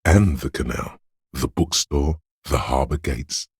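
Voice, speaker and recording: A man voicing a detective's coolly observant inner voice of visual reasoning in a video game, narrating in a measured, clinical, matter-of-fact way with a deep, gravelly tone. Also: dry recording, studio quality, full character voice. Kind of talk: scripted second-person game narration.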